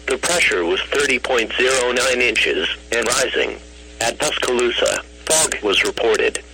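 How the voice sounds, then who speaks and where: A synthetic man's voice reading a scripted weather bulletin over the radio, formal and flat, in clipped phrases. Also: medium pitch, a little slow, crowd noise. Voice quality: synthetic text-to-speech voice. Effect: radio broadcast. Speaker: automated weather radio announcer. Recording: noisy, humming weather radio broadcast recording.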